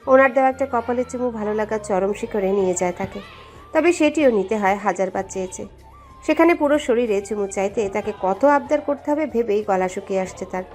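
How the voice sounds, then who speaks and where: A woman's voice: medium pitch, brisk, darkish tone. A woman narrating a scripted story in a dramatic storytelling style. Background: background music.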